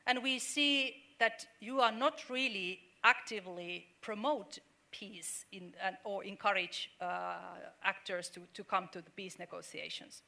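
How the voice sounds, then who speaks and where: A woman's voice: mid-range voice, unhurried, vocal fry, pushed and bright. A middle-aged woman from Finland, speaking earnestly on a panel discussion with many fillers and restarts.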